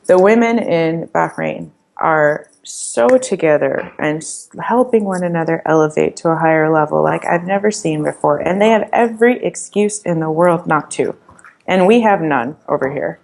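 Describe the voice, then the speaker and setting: A woman in a casual podcast interview, sharing her experiences and advice with emphatic, motivational energy. Her voice sits low and carries a gravelly edge. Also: moderate pace, darkish tone. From Iran, raised in the US. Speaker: startup founder, interview guest.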